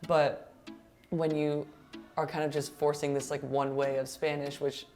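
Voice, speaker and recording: Low-voiced woman telling a story earnestly. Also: level tone, measured pace, music in the background. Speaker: guest. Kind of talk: casual interview chat. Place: restaurant.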